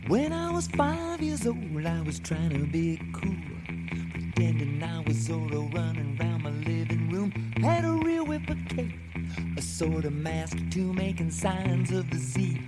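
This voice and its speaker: A woman's voice: sits low, sing-song, a little slow. A woman performing a humorous autobiographical spoken-word piece with guitar music behind her. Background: loud guitar music.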